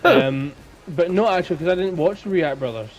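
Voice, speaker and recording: A man's voice: sits high, fairly quick, muffled recording. A man with a Scottish accent co-hosting a podcast, telling stories in an informal, rambling way. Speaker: podcast co-host. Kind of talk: casual chat, storytelling.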